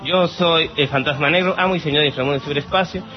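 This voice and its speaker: A Mexican man with a fairly high voice hosts a casual listener Q&A podcast. He talks in a playful, theatrical manner. The sound is slightly dull and lo-fi, with soft music playing behind him. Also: quick pace. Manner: theatrical, mock-exasperated, playful. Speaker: podcast host.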